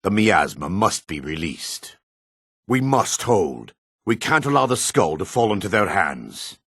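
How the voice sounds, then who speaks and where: An actor playing a solemn, world-weary dark elf man in a fantasy video game, with a raspy, measured, theatrical delivery. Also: sits low, big pitch swings, dry recording, studio quality, exaggerated voice. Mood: solemn, resolute.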